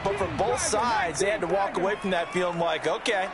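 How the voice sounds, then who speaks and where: A man's voice: high-pitched, a little fast, heavy background noise, lightly acted. A man with an American accent acting as a folksy color commentator who explains plays in an American football video game. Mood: analytical, upbeat. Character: folksy male football analyst in the booth.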